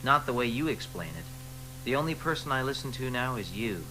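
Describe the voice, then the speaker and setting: An actor playing an insecure young newcomer, hesitant and guarded. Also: male voice, medium pitch, animated, fairly quick, music in the background, lightly acted, low-quality recording. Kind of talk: radio drama acting.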